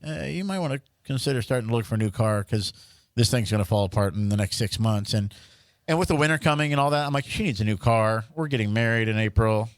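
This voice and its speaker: A man's voice: fairly low pitch, fast, dry recording, clean studio sound. A young man co-hosting a podcast, telling long rambling stories with lots of restarts and jokes. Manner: rambling storyteller, joking, many restarts. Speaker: podcast co-host. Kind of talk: casual chat.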